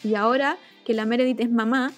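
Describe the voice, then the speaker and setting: Chilean girl cohost, high voice, kinda hesitant, just chatting with music under it. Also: fairly even intonation, fairly quick. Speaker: co-host of a talk show. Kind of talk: casual chat.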